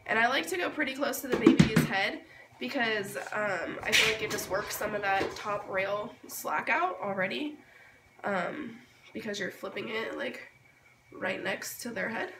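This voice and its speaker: Hoarse woman, roomy distant recording. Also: medium pitch, a little slow. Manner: informal step-by-step explaining. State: hoarse from being sick. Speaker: babywearing tutorial host. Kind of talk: casual hands-on tutorial. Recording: roomy, distant mic, noisy background.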